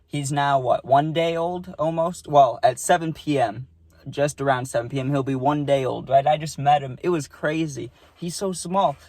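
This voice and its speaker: Excitable young man rambling a story. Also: on the high side, normal speed, no echo. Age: 20s. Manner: rambling, excitable, says "right?" and "dude" a lot. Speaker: vlogger. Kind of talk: casual storytime monologue.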